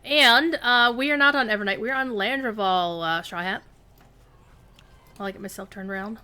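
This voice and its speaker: A woman's voice: a little high, normal speed, sharp tone, smooth. An American woman hosting a livestream of an online game, chatting casually with viewers and fellow players. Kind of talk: casual live gaming chat.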